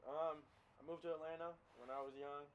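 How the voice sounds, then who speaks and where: An easygoing young man of about eighteen, chatting on a podcast with plenty of ums. His fairly high voice comes through dull and lo-fi, with faint noise underneath. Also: fairly even intonation, fairly quick. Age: around 18. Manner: easygoing, hesitant with lots of ums. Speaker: podcast co-host. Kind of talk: casual chat.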